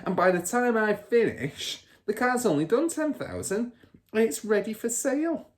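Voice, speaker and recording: A man voicing a boastful, bullying car-dealer father in a storybook, loud and scornful. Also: sits high, animated, moderate pace, voice pushed up.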